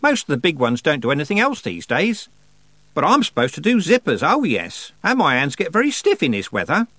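A man's voice: a little high, wildly swooping pitch, a little fast, pushed and bright, dry recording, voice pushed up, full character voice. A man voicing a skinny, tired goddess woman with an offhand, wry manner in an audiobook. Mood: chatty. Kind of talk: audiobook character voice.

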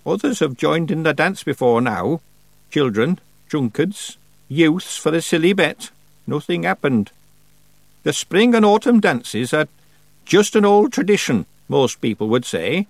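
A man's voice: a little high, lively intonation, creaky, no echo, pitched above the performer's own voice, exaggerated voice. A man doing a severe ancient witch. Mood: solemn, probing. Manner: slow, severe, lecturing. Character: stern, solemn very old woman witch.

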